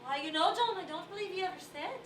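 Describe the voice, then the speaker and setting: An actress playing a sweet, cheerful, attentive wife in a stage play, speaking in a gentle, chatty way. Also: female voice, extremely high pitch, lively intonation, fast talker. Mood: cheerful, caring. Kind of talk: stage musical acting. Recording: roomy, distant stage recording, faint noise.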